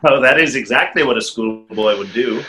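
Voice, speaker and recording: A man's voice: medium pitch, normal speed, pushed and bright, lightly acted, low-quality recording. A man in his thirties in a play reading, playing a suave conjurer with a dry, politely mocking manner. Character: suave, wry stage conjurer trading polite barbs. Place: small room, video call.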